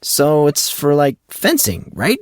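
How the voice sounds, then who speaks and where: A man's voice: on the high side, wildly swooping pitch, slow pace, no room sound, pitched above the performer's own voice, heavily acted. A guarded teenage boy, puzzled and full of questions, dodging anything personal, as a man reads him. His voice sits raised and swings widely. The sound is dry and close. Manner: questioning, evasive.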